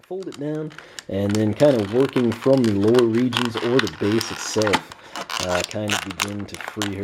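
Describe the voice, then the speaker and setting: A man with a hoarse, worn-out voice, casually talking through a toy unboxing with plenty of fillers and throat clearing. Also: fairly low pitch, very noisy. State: hoarse, losing his voice. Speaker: toy collector unboxing host.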